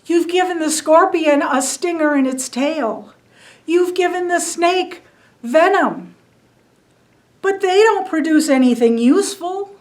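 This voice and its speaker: A woman's voice: extremely high pitch, slow pace, pitched above the performer's own voice. Woman doing a tiny pleading honeybee. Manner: eager, pleading, breathless run-on talk. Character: tiny chubby fuzzy female honeybee, pleading.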